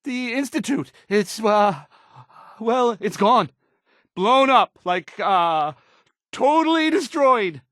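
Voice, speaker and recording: Nervous, high-pitched, bumbling radio newscaster. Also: male voice, expressive, takes it slowly, fairly bright tone, dry recording, studio quality, full character voice. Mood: nervous amazement. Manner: hesitant, stammering, full of ums and trailing-off. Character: nervous, awkward young male radio newscaster, unsure and bumbling. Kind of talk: in-game radio news acting.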